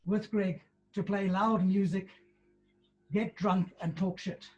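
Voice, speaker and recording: A man in his sixties hosting a wake, introducing speakers in a halting, gentle way. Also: sits high, fairly even intonation, lo-fi sound. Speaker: host of a wake.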